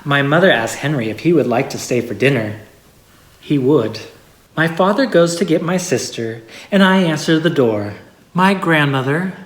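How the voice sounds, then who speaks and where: A person reading a holiday story aloud in a measured storybook way with expressive pauses. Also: mid-range voice. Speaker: story reader. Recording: echoey room.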